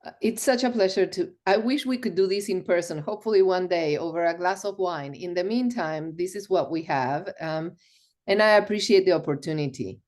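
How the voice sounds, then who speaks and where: A woman's voice: lowish voice, a little fast, a little mellow. A middle-aged woman from Argentina, a podcast guest recounting personal stories over a remote call. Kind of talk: storytelling interview.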